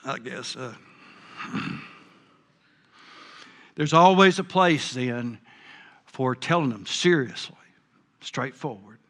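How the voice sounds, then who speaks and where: A man's voice: a little high, vocal fry, sharp tone. An older man preaching a sermon, earnest and folksy, with a big voice. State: a bit hard of hearing. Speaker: pastor.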